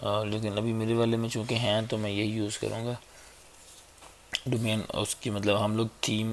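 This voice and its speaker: A man with a sore, hoarse throat giving a casual, chatty screen-recorded web design tutorial on a basic mic. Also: on the low side, slight background noise. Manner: casual, chatty, fills gaps with "okay" and "theek hai". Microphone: basic mic, a better one on order.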